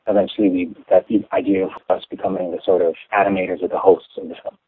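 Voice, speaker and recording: A young Canadian man answering interview questions in a measured, explanatory way, with some restarts. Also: sits low, level tone, husky. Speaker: interview guest. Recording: tinny phone line.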